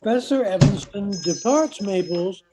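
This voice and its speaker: A woman with a husky voice reading scripted narration for a radio play. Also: medium pitch, animated, normal speed, heavy background noise.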